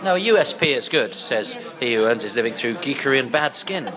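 A man hosting a podcast, asking halting questions full of restarts and laughing easily. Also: mid-range voice, lively intonation, a crowd in the background. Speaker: podcast host. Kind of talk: casual interview chat.

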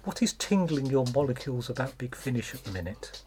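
A woman's voice: rumbling low, sing-song, a little fast, warm tone. A British woman hosting a podcast interview in a casual, teasing, jokey way. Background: background noises.

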